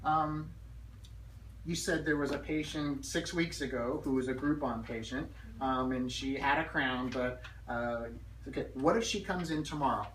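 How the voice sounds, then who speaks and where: A woman with a low voice leads hands-on staff training in a directive manner, repeating key phrases and checking that listeners follow. The recording is echoey and a little distant, with faint background noise. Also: normal speed. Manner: directive, drills phrases and checks understanding often. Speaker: dental practice consultant and trainer. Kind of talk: hands-on staff training with role-play demonstration.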